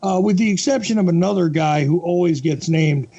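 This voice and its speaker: A man hosting a podcast interview, asking steady, probing questions. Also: high voice, fairly quick, slightly rough, muffled recording.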